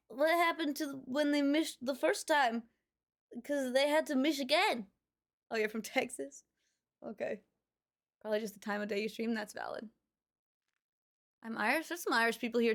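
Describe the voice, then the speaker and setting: Chatty woman streamer reading her chat. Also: fairly high pitch. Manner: chatty, musing, reads out chat. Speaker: livestreamer. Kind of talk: casual chat with viewers.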